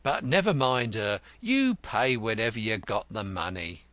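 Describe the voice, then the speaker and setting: A man's voice: fairly low pitch, very animated, measured pace, pushed and bright, no echo, full character voice. A man voicing a meek, kindly little male cobbler in an audiobook, anxious and placating. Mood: pleading, anxious. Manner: placating, pleading. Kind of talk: audiobook character voice.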